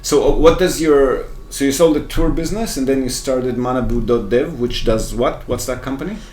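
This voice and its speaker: A man's voice: mid-range voice, moderate pace, darkish tone. A man hosting a podcast, giving an enthusiastic intro and then asking the guest questions. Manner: upbeat, enthusiastic. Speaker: podcast host.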